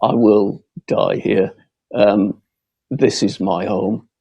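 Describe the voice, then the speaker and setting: A man from Bolton with a northern Lancashire accent, giving a halting, earnest closing speech as a local candidate, often repeating words. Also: lowish voice, steady tone, gravelly, warm tone, husky, no room sound. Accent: northern English, Lancashire. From Bolton, Lancashire, England.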